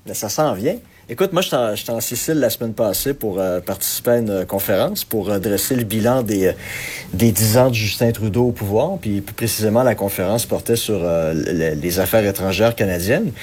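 Low-voiced Québécois man, analytical. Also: brisk, darkish tone, slight background noise. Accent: Québécois French. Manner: earnest, analytical, hesitant with many fillers. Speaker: political commentator. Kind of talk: radio commentary segment.

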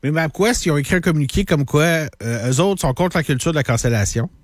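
A man's voice: a little high, animated, fast talker, no room sound. Quebec male co-host, dry studio sound. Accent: Quebec French. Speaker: radio co-host. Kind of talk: casual current affairs chat.

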